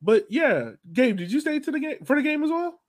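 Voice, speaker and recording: A man's voice: extremely high pitch, sing-song, brisk. A man hosting a soccer fan podcast in casual chat, informal and slangy.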